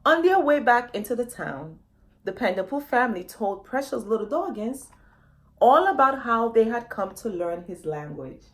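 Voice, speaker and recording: A woman's voice: a little high, very animated, normal speed. A woman reading her children's book aloud in a dramatic storytime style, often putting questions to young listeners.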